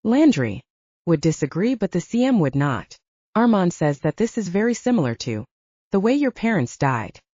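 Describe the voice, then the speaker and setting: A brisk, frank supernatural investigator played by a woman narrator in an audiobook. She gives firm orders in a lowish, animated voice, on a dull, dry recording. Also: husky, dry recording. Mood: frank, approving. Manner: blunt, commanding, coaching.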